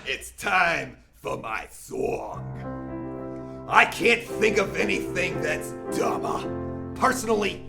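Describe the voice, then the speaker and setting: Horned Christmas-hating creature, audio drama, gravelly, blustering, very animated delivery, loud backing music. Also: mid-range voice, slow pace, heavily acted. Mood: gleefully grumpy. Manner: sneering, blustering. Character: grumpy goat-horned creature who hates Christmas.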